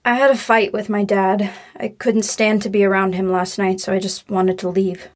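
A woman's voice: medium pitch, little pitch movement, normal speed, lightly acted. A woman acting a hurt, defiant young daughter in a naturalistic audio drama, guarded and curt in manner. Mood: confiding, troubled.

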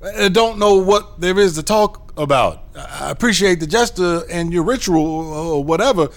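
A man's voice: high-pitched, normal speed, creaky. A man playing an uneasy, gullible office boss in an audio drama, talking informally and hesitantly. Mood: wary, hesitant.

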